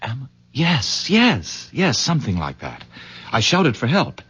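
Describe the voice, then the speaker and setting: An actor playing a courteous, awestruck village horse owner in a radio drama, hesitant, polite and effusive. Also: male voice, mid-range voice, huge pitch swings, slow, a bit bright, husky, heavily acted. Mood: awed, earnest. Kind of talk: 1970s American radio drama acting. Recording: muffled old radio broadcast.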